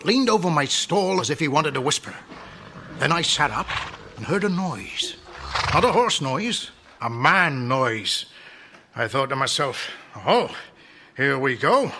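Lively, high-voiced old rustic stableman. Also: measured pace, pauses often, background noise. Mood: relishing, excited. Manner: folksy, relishing storyteller. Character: old rustic stableman, proud and fond of horses. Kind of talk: audio drama acting.

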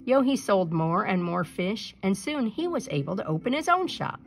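A woman storyteller reads a children's picture book aloud over music. Her mid-pitched voice is lively and expressive, moving freely up and down as she narrates. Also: moderate pace, creaky.

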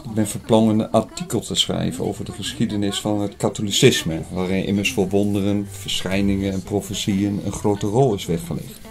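A man playing an inquisitive, polite newspaper writer in an audio drama, speaking formally and deliberately. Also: lowish voice, level tone, gravelly, darkish tone. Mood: intrigued. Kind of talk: scripted audio drama dialogue.

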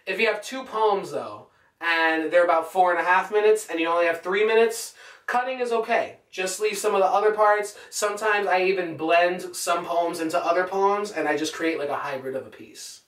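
Young guy chatting performance tips to camera, pretty high voice, roomy sound, mic kinda far. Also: moderate pace, fairly bright tone. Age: young adult. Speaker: poet giving stage performance tips. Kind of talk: casual instructional vlog talk to camera. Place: small bare-walled room indoors. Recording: echoey room, distant camera microphone.

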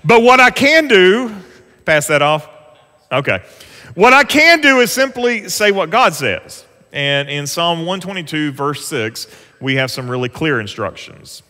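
A man delivers a sermon in a church sanctuary, his voice bright and a little high for a man. He preaches earnestly and conversationally, leaning into the scripture he reads aloud. The sound rings with the long reverb of a large hall. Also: big pitch swings, unhurried. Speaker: pastor.

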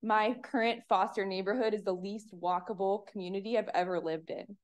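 A woman in her thirties from Pennsylvania, giving an earnest, measured public comment at a municipal commission meeting on a podium microphone. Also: medium pitch, steady tone. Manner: earnest, measured, reads clearly.

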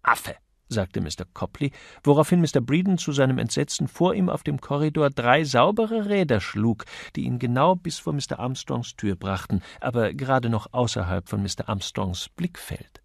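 A man's voice: medium pitch, lively intonation, moderate pace, gravelly, few pauses, dry recording, studio quality. A man reading a novel as an audiobook narrator, in a scripted literary style.

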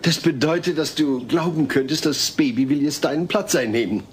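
A man's voice: fairly high pitch, normal speed, a little hiss or hum, close to an everyday voice. An actor playing a patient, well-meaning family father who reasons earnestly in a comedy audio drama. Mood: reasoning. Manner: earnest, reasoning, hesitant when awkward.

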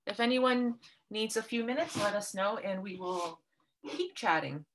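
A woman's voice: mid-range voice, a little slow, noisy background. A woman from Canada leading an online paper craft workshop, explaining each step patiently and kindly over a video call. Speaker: art workshop instructor.

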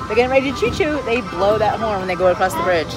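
A woman's voice: mid-range voice, lively intonation, fast talker, a loud crowd, rough recording. A woman in her thirties filming a family vlog from a phone on an open-air tourist train, chatting and talking to her toddler. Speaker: vlogger. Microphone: phone held at arm's length. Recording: music playing loudly under the voice.

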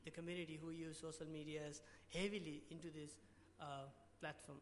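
A young man with a Nepali accent speaks in a fairly high voice from a podium at a conference. He stumbles and restarts often, and the long reverb of a big hall trails behind his words. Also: little pitch movement, brisk, slight background noise. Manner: hesitant, many restarts and fillers. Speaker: award presenter. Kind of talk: conference pitch talk. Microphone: podium mic.

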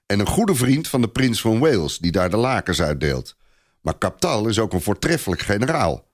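A man voicing a gentle herald, mild and teasing yet thoughtful, in a storybook tale. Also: on the low side, big pitch swings, normal speed. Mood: serious.

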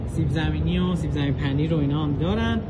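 A man's voice: a little high, very noisy. A man in his thirties from Iran, chatting casually as a food vlogger touring a large restaurant. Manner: chatty, upbeat tour-guide narration.

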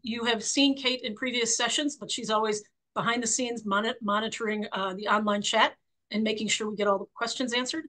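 A woman in her sixties with a croaky voice, hosting a book club interview over a video call from her home office, chatty and full of fillers. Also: a little high, steady tone, a little fast, rough recording. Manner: warm, chatty, many fillers and restarts.

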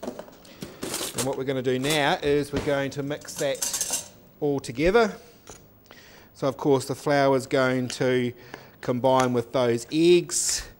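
A man's voice: mid-range voice, fairly even intonation, takes it slowly, long pauses, slight background noise. A man, a cooking teacher explaining each step as he cooks in a teaching kitchen. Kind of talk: cooking demonstration. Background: oil sizzling in a pan.

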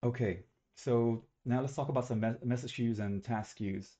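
A man's voice: mid-range voice, moderate pace, mellow. A young man from Algeria giving a recorded student presentation on a webcam, talking casually and correcting himself as he goes. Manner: casual, self-correcting, slightly rambling.

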